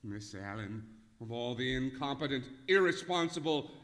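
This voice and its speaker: Man as a bright-voiced, irate store manager. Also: medium pitch, expressive, moderate pace, natural voice. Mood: exasperated. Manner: blustery, scolding. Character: irate, fussy male department store manager. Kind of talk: old-time radio comedy acting. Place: live performance in a museum hall. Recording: echoey hall, live stage recording.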